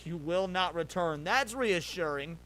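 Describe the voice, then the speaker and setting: A man's voice: sits high, lively intonation, unhurried, background noise. A man giving casual live reaction commentary as a stream host, thinking aloud as he goes. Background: loud music from the watched video.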